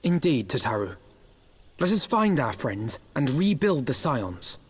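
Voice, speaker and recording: A man's voice: medium pitch, sing-song, unhurried, husky. An actor playing an earnest, courteous young nobleman in a fantasy video game, with formal, grave phrasing. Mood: resolute.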